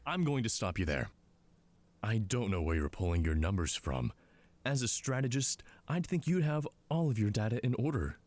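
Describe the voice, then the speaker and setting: An actor playing a smug, condescending intelligence commander in a scripted drama, talking down to people in a mocking way. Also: male voice, fairly low pitch, big pitch swings, normal speed, darkish tone. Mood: curt, dismissive. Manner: condescending, mocking, drawling asides.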